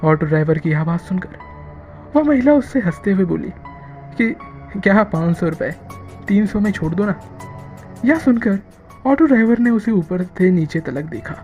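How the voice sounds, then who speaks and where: A narrator telling a scripted horror story in a dramatic, drawn-out way with frequent pauses. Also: medium pitch, lively intonation, mellow, faint music behind.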